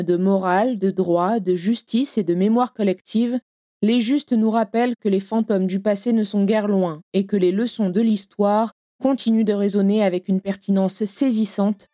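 Woman presenter, very mellow, dry close sound, solemn scripted intro over soft music. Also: mid-range voice, fairly even intonation, normal speed, no room sound. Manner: solemn, literary. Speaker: podcast presenter. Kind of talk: scripted narration.

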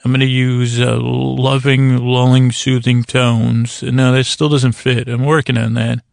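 Very creaky, monotone male podcast host. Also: mid-range voice, no echo, studio quality. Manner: meandering, hesitant, with long pauses and self-interruptions. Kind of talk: rambling bedtime monologue.

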